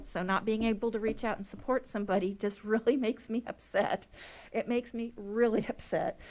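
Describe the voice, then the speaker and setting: A middle-aged woman speaks into a podium microphone at a public meeting, pleading earnestly and emotionally. Her voice is of ordinary pitch for a woman and her delivery is heartfelt. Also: a little mellow. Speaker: school nurse giving public comment. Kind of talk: public comment at a school board meeting.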